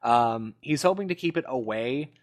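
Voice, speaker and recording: A man's voice: mid-range voice, lively intonation, moderate pace. A man hosting a casual gaming podcast, explaining things in a rambling, enthusiastic way. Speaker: podcast host.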